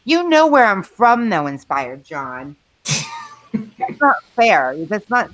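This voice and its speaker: A woman's voice: mid-range voice, sing-song, slow, fairly bright tone, no echo, poor audio. A middle-aged woman from New York hosting a livestream, chatting casually, rambling between topics and reading out the chat with frequent laughs. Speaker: livestream host.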